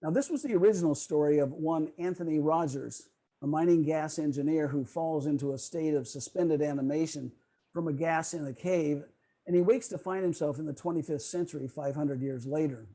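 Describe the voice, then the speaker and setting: A man's voice: fairly high pitch, fairly quick, mellow. A man presenting a prepared, informative history talk in an online video meeting.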